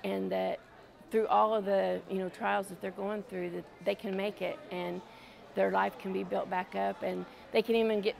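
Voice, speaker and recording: A woman interviewed about singing for churches, speaking earnestly with a gravelly voice. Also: medium pitch, level tone, normal speed, a little mellow. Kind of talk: casual interview.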